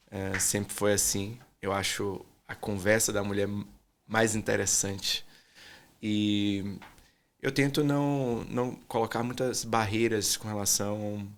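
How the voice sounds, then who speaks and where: A man from Salvador with a Bahian accent, a guest artist speaking thoughtfully and softly in an interview, often pausing with fillers. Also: lowish voice. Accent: Bahian Portuguese. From Salvador, Bahia, Brazil. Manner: soft-spoken, thoughtful, trails off with fillers. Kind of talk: reflective interview conversation.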